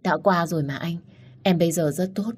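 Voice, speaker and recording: A woman voicing a gentle young woman who speaks softly and soothingly to her lover. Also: lowish voice, a little fast, breathy edge. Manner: soft, soothing.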